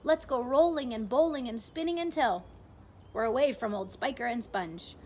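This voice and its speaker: A woman reading aloud and voicing an excitable, boastful male centipede reciting in a sing-song rhyme. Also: on the high side, moderate pace, slight background noise, close to an everyday voice. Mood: excited, sing-song.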